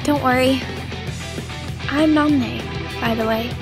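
A woman's voice: high-pitched, fairly even intonation, slow, music in the background. A woman playing a kind, earnest young girl who fights demons in an audio drama. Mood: concerned, reassuring.

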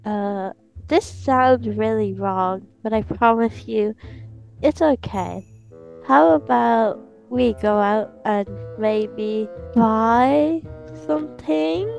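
Female performer as sneaky, indignant kid in game roleplay; highish voice, exclamatory, music underneath. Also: slow, gravelly, darkish tone, muffled recording. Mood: scheming. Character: scheming, indignant, excitable older child in roleplay. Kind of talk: improvised roleplay acting.

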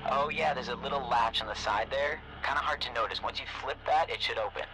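A man's voice: medium pitch, fast talker, noisy background, lightly acted. An actor playing a friendly, slightly awkward young man helping through a phone app, chatty and casual. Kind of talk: naturalistic game voice acting.

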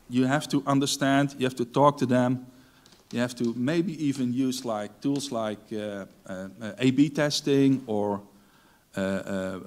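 A middle-aged man whose first language is Dutch gives a technical talk on a large conference stage, pausing often with ums and restarting sentences. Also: medium pitch, a little mellow. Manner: hesitant, many ums and restarts. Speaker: conference speaker.

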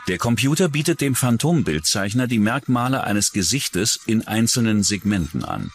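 A man's voice: fairly low pitch, sing-song, normal speed. A man reading scripted narration for a true-crime documentary. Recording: music under the voice. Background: background music.

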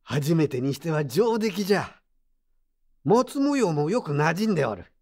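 An actor playing a gruff but kindly old craftsman in an audio drama, with rustic, plain-spoken elderly speech. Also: a little high, very animated, moderate pace, a little mellow. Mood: calm, kindly. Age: older. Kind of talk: Japanese audio drama acting.

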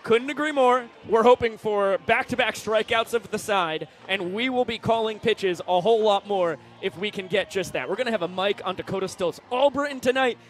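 A man's voice: high voice, fairly bright tone. A man doing upbeat pregame baseball broadcast commentary from the ballpark booth. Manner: upbeat, chatty, self-correcting. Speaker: play-by-play broadcaster.

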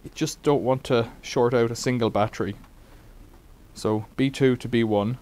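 A man's voice: medium pitch, fairly even intonation, normal speed, a little mellow. A middle-aged man casually demonstrating a bike repair in a cluttered workshop, rambling with wry asides.

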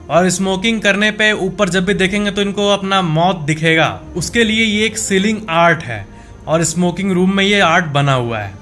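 High-pitched young man explaining facts. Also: bright, forceful tone. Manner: conversational, explanatory, asks rhetorical questions. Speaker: host of a facts show. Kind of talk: casual explainer presenting to camera. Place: small indoor home office. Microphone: desktop microphone close in front. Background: music.

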